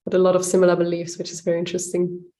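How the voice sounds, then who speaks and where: A woman from Lebanon and Germany, chatting softly and thoughtfully as a guest. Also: lowish voice, monotone, very fast. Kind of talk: casual reflective chat.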